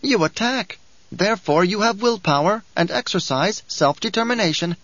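A man's voice: high voice, lively intonation, moderate pace, fairly bright tone, no echo, dull audio, pitched above the performer's own voice, full character voice. A man reading an audiobook, voicing a whining, spiteful male dwarf who taunts and giggles. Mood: taunting.

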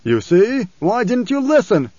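A man voicing possessing spirits that pour from a woman in an audiobook, crying out loud and frantic. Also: sits high, sing-song, unhurried, dry recording, highs cut off, voice pushed up, exaggerated voice. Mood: frantic, pleading. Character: jumbled possessing voices pouring from a woman, cursing, pleading.

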